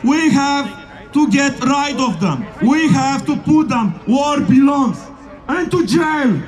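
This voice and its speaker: A man giving a shouted rally speech at an outdoor street protest, in halting English with slips of grammar. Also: very high voice, lively intonation. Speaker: protest speaker. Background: faint crowd.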